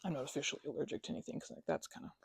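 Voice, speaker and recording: A woman in an ASMR roleplay talking to the listener as a roommate, rambling and hesitant, with lots of fillers and stammered restarts and soft whispers at times, close to the microphone. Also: low voice, lively intonation, racing, creaky, breathy edge, dry recording.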